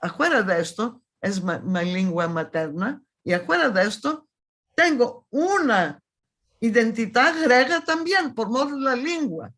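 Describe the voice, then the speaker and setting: A woman's voice: on the high side, lively intonation, unhurried, fairly bright tone. A woman in her seventies from Thessaloniki, speaking in an interview with a Salonica Judeo-Spanish accent, emphatic and insistent, often restarting her phrases. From Thessaloniki, Greece. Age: 70s. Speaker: guest historian. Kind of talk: conversational interview.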